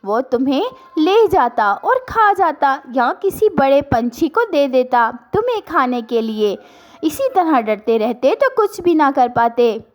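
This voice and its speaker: Woman as little cartoon baby duck, male, high voice, brave, mischievous, swaggering, animated. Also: unhurried. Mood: brave, reassuring. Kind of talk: children's cartoon story voice.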